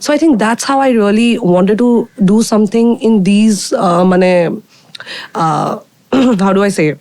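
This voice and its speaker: A young woman with a husky voice with a low baritone edge. She is a singer talking in a rapid, chatty way, often saying "like" and "you know". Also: expressive, a little mellow, no echo, poor audio. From Guwahati, Assam, India. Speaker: independent singer and co-host. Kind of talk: casual conversation.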